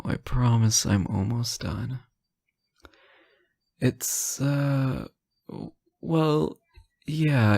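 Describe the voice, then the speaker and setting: A man playing a sleep-deprived, teasing boyfriend on an intimate late-night call, soft-spoken, hesitant and gently joking. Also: on the low side, vocal fry, slightly rough, no room sound, exaggerated voice. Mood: tired, apologetic. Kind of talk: intimate audio roleplay, one side of a phone call.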